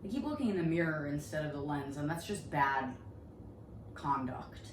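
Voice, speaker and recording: A woman in her thirties trading sarcastic, foul-mouthed jabs as a podcast co-host, her loud voice sitting a little low. The room around her is echoey, with a faint hum of ambience. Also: lively intonation, moderate pace. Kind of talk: casual comedic banter.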